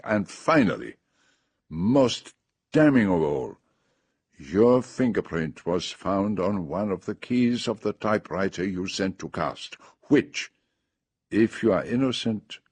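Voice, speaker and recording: A fussy, shrewd older Belgian detective for radio drama. His French-accented voice is low and slightly husky, recorded close and dry. He sets out each point with deliberate, theatrical precision. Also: a little mellow, no room sound, full character voice. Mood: firm, accusing. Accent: French-speaking Belgian.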